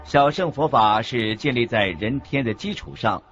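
A man's voice: mid-range voice, normal speed, a bit bright. A man reading a scripted religious teaching as the narrator of a radio lecture. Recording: dull lo-fi audio with background music.

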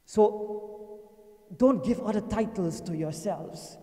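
An older man preaching in a mosque hall, his voice pitched fairly high yet mellow in tone. He speaks earnestly, repeating key phrases and slowing down to press them home, into a stand mic. The hall rings with a long, echoey reverb. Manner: earnest and emphatic, repeating phrases, slows for stress. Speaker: imam giving Friday sermon. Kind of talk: sermon. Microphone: stand mic at a lectern.

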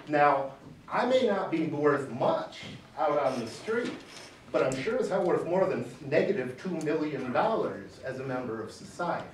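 A man in his forties testifying at a public hearing, speaking loudly and emphatically, off the cuff. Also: fairly high pitch, a little hiss or hum. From Michigan. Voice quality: loud voice. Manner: emphatic, gesturing, pounds the table. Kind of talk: unscripted testimony. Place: large wood-panelled hearing room. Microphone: far camera. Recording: echoey room, camera far off.

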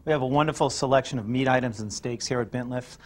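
A middle-aged man, a chef and restaurant owner, answering interview questions in his restaurant in a relaxed way, with many fillers as he lists dishes. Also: medium pitch, quick pace. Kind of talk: on-camera interview and cooking demo. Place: restaurant dining room and kitchen.

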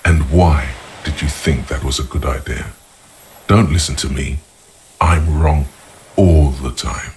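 An actor playing the brooding inner voice of a detective in a video game, narrating in a measured, ominous, gravelly way. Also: male voice, extremely low pitch, slight background noise. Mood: urging. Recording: loud music behind the voice. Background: music.

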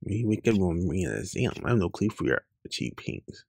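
Male comic reader, informal and casual, gravelly and husky delivery, recorded dry and close. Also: deep voice, expressive, moderate pace, darkish tone, no echo. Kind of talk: reading webcomic dialogue aloud with casual reactions.

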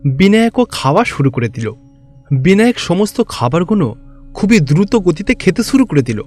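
Male storyteller for scripted cartoon tales: fairly high pitch, light music underneath. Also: big pitch swings, moderate pace, darkish tone. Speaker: narrator. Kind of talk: scripted fairy-tale narration.

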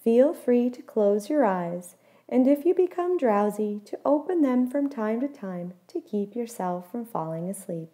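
Higher-pitched, mellow woman guiding meditation. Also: expressive. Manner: gentle, measured instruction with soft phrase endings. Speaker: mindfulness meditation guide. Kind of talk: guided body scan meditation.

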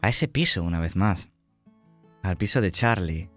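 A man with an accent from Spain, narrating a tabletop roleplaying game as game master in a measured, descriptive way. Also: sits low, expressive. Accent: Spanish (Spain). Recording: music behind the voice.

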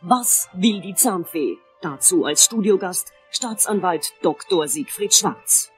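A TV newsreader announces dramatically over faint music. Her voice is a little low and her manner crisp and sensational, as in a crime radio play. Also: expressive, takes it slowly. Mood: sensational, grave. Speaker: actress.

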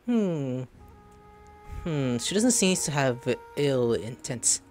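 A man's voice: medium pitch, very animated, slow, darkish tone, faint music behind. Casual, joking male gaming streamer. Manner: joking, offhand. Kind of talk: casual gameplay commentary, reading choices aloud. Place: indoor room, webcam setup.

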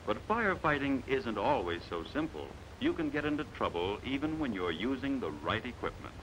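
An American man narrating a scripted 1950s training film in a measured, authoritative, emphatic manner, on a vintage soundtrack. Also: mid-range voice, big pitch swings, fairly bright tone, dull audio, a little hiss or hum.

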